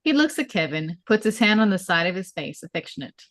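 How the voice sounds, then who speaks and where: Measured woman reading stage directions, dry sound. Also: fairly low pitch, very animated, no room sound. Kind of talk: scripted narration at a table read.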